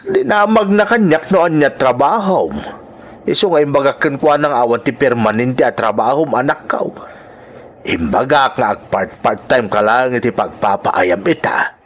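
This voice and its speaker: A man playing a tired, hardworking elderly farmer father, gentle and reassuring in a drama style. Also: mid-range voice, lively intonation, moderate pace, close to an everyday voice. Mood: matter-of-fact, encouraging. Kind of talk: Ilocano radio-style drama acting.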